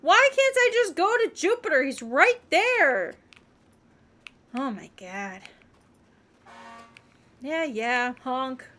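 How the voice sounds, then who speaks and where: A woman's voice: high voice, sing-song, takes it slowly, long pauses, fairly bright tone. A woman livestreamer giving casual gameplay commentary, muttering questions and reactions to herself as she plays. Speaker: video game streamer.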